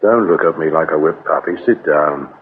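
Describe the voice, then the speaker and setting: An actor playing an irritable, sardonic British police inspector in a radio drama, gruff and curt. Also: male voice, very deep voice, moderate pace. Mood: irritable, sarcastic. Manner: gruff, curt, dryly sarcastic. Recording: tinny band-limited radio recording, noisy.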